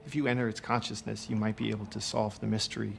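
An earnest, hesitant mentor, noisy ambience. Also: male voice, on the low side, flat delivery, fast talker, creaky. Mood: earnest, explaining. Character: concerned, awkward adult male mentor with a secret plan. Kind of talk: cinematic video game acting.

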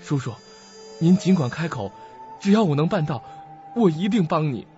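An actor playing a greedy, nervous, irritable heir in a radio drama, agitated and exclamatory. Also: male voice, fairly high pitch, lively intonation, normal speed, dark tone, faint music behind, lightly acted. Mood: eager. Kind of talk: Mandarin radio drama acting. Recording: dull lo-fi recording.

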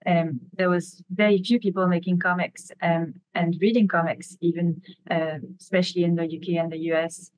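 A woman's voice: fairly low pitch, no echo, rough recording. A woman in her fifties with a French accent, a native French speaker, giving an informal webinar presentation. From France. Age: 50s. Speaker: graphic novelist and illustrator, webinar presenter. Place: indoors, on a video call.

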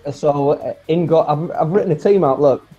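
A man in his forties chatting casually as a guest on a video call, relaxed and rambling, with plenty of fillers and restarts. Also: fairly high pitch, normal speed, breathy edge. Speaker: guest, former footballer. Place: home room, indoors. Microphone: earphone mic on a video call. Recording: dull lo-fi video call audio with faint music.

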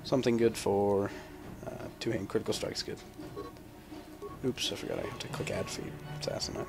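Young male gamer, deeper side, casual and foul-mouthed, wry running commentary, clear music behind. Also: steady tone, moderate pace. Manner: sarcastic, profane running commentary. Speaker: gaming video host.

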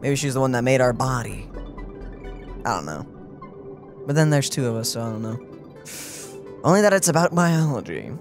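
A woman's voice: a bass voice, sing-song, moderate pace, pauses often, over music. A woman co-hosting a video game playthrough with casual, playful, teasing reactions. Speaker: let's play co-host.

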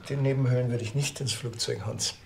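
Male actor as sardonic Bavarian small-town police officer, gravelly, grumbling, screen crime comedy. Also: mid-range voice, fairly even intonation, brisk, a little mellow, slight background noise, lightly acted. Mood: dry. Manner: dry, grumbling sarcasm.

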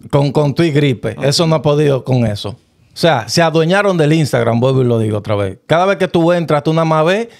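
A man co-hosting a casual studio podcast chat, animated and pushing topics along. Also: on the high side, brisk, vocal fry. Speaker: podcast co-host. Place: small studio, indoors. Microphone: studio mic on arm.

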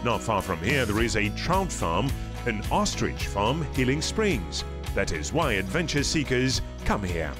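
A man reading scripted documentary narration in a lively storytelling way. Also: on the low side, a little slow. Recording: voice-over with music behind.

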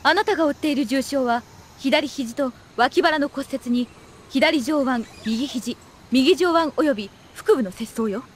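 高い声の冷静な女性衛生兵. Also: female voice, sits high, a little slow, a little hiss or hum. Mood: calm, instructive. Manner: clear, instructive, firm. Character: composed, firm female radio medic guiding a wounded soldier. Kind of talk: scripted game tutorial instruction.

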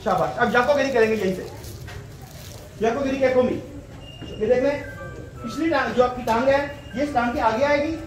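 A man leads a martial arts class with commands, counts and pointed questions. His voice sits high. It comes from a distance in an echoey, noisy open courtyard. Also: normal speed, long pauses. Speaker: karate instructor. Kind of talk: live class instruction with drill counts and commands. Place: open concrete courtyard dojo at night. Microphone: distant camera microphone.

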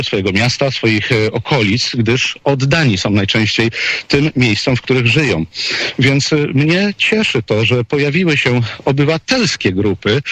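A man's voice: mid-range voice, a bit bright, no room sound. A man working as a radio correspondent, giving opinionated live commentary in a hesitant, wordy way.

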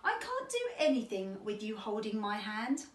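A woman voicing a patient, gentle mother otter in a children's storybook, coaxing and encouraging. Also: medium pitch, very animated, normal speed, close to an everyday voice. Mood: pleading. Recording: roomy echo, distant from the mic.

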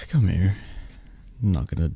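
A man playing a possessive, tender mafia boss lover in intimate roleplay ASMR, murmuring softly and hesitantly with a breathy, gravelly voice. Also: low voice, sing-song, slow, no room sound, exaggerated voice. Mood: mock reluctant.

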